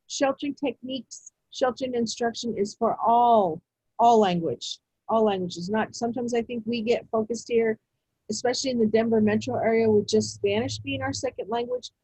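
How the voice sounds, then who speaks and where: A woman presenting a teacher-training webinar, talking in a measured way with many fillers and restarts. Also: on the high side, lively intonation, darkish tone, slightly rough, poor audio. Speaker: education presenter. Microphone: video call.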